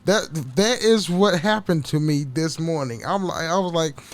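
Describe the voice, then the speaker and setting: A man with a notably high voice talks casually as a solo podcast host. He rambles, doubles back on words and stammers through sentences, dropping swear words freely as he goes. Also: moderate pace. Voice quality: voice prone to cracking.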